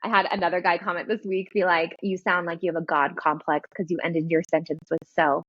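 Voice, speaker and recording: Host type: girly-voiced woman, slight lisp, animated chat, a little lo-fi. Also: fairly low pitch, a little fast, husky, dry recording. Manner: animated, laughs while talking. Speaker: podcast host and business coach. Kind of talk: casual interview chat.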